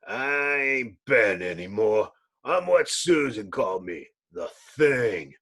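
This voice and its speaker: A man voicing a gruff, surly monster hero with grumbling, slangy tough-guy talk in a comic book story. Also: medium pitch, animated, slow, a bit bright, pitched below the performer's own voice, full character voice. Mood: gruff, defiant.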